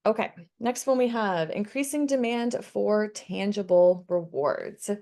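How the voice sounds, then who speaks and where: A woman's voice: medium pitch, expressive, unhurried, creaky, warm tone. A woman co-hosting a live webinar, chatty and upbeat, reading audience comments aloud. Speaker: webinar co-presenter.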